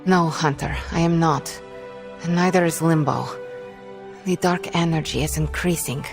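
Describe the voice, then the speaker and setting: A woman's voice: low voice, fairly even intonation, a little slow, gravelly. A woman voicing a grave, guarded young sorceress in a video game cutscene, speaking in terse, formal phrases. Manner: terse, formal wording without contractions. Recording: music playing behind.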